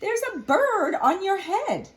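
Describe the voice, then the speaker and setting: A woman voicing a cheerful, excitable pig from a storybook in a high, drawn-out, singsong voice. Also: heavily acted. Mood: delighted.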